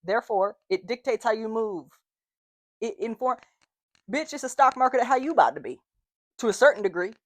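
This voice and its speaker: A woman's voice: on the high side, lively intonation, brisk, pauses often, dry recording. A woman in her late twenties, hosting a casual solo podcast, talking candidly with lots of slang and swearing. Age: 20s. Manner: candid and profane, slangy, lots of "you know what I mean". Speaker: podcast host.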